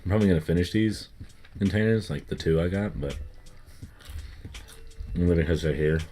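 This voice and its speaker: A man's voice: deep voice, fairly quick, dark tone, a little hiss or hum. A young man with a light Southern US accent, co-hosting a casual chat and rambling with lots of fillers. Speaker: co-host. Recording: faint music underneath.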